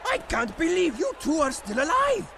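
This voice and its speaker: Excitable talking bird, touchy, pompous, high pitch, very animated, game cutscene. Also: male voice, moderate pace, vocal fry, background noise, heavily acted. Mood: boastful. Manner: excitable, self-important. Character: boastful, touchy, squawky male pet bird. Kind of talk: animated video game voice acting. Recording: loud music over dialogue.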